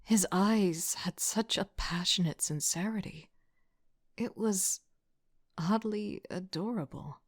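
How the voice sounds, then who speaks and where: A woman playing a young team leader who narrates an audio drama in a reflective, softly breathy, introspective way. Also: medium pitch, takes it slowly, no echo, studio quality.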